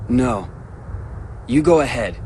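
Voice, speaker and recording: A man's voice: mid-range voice, animated, slow, darkish tone, slight background noise. An actor playing a self-assured, guarded teenage boy in a video game, with a dry, laconic manner. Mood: reserved. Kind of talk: cinematic video game acting. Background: music.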